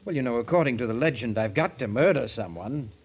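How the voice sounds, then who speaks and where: An actor playing a cold, scheming killer in a 1940s radio thriller, with an intense, smoothly condescending manner. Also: male voice, medium pitch, expressive, fast talker. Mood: sly, needling. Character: cold, scheming man confessing to a killing, sly and needling. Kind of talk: 1940s radio thriller acting, first-person narration and dialogue. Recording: muffled, noisy old radio recording.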